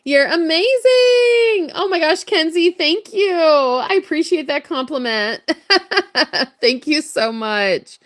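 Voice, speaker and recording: A woman with a hoarse, worn voice, chatting casually and greeting viewers while crafting on a livestream. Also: sits high, animated, slow, fairly bright tone. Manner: chatty, upbeat, laughs often. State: hoarse, voice strained from hours of talking. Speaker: livestream crafter and shop owner.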